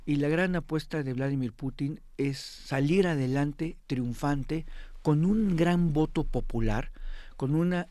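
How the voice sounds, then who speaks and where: A man's voice: fairly high pitch, measured pace, dark tone, dry recording. A man with a Mexican accent giving analysis as a guest in a live interview, emphatic and prone to repeating himself.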